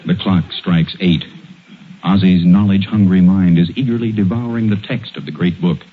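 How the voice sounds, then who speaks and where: A man's voice: low voice, moderate pace, phone-quality sound, slight background noise. An American man announcing from a script in a grand, dramatic 1940s radio style. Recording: thin vintage radio broadcast sound.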